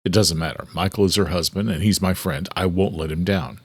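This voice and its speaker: A man voicing a blunt, protective brother with a flat delivery in an audiobook. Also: low-pitched, brisk, husky, no room sound, studio quality, pitched below the performer's own voice. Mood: resolute.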